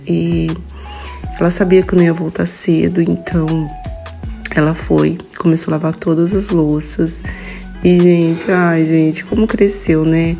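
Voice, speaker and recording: Mellow low-pitched Brazilian woman vlogger. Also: vocal fry. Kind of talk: casual vlog narration.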